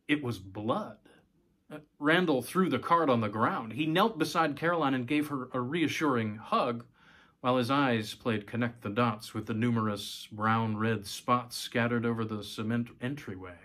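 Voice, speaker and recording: A man's voice: mid-range voice, a bit bright, husky. A man in his forties reading a short story aloud as scripted narration. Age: 40s. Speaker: story reader, host. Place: small room at home.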